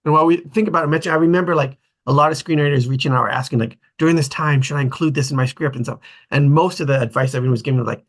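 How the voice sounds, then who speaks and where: A man's voice: on the high side, very fast. A man hosting a casual group discussion, speaking in an informal, tentative way. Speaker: table read host.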